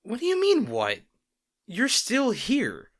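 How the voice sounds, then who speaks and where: Man playing a worried boyfriend with expressive swings. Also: extremely high pitch, takes it slowly, full character voice. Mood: exasperated. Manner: earnest, pleading, emphatic, with sighs. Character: worried, caring boyfriend pleading with overworked partner. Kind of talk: intimate roleplay audio drama.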